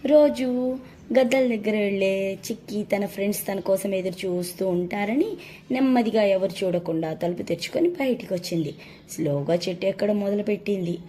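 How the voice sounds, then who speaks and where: A woman's voice: medium pitch, warm tone. A woman narrating a children's story as a storyteller. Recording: faint background noise.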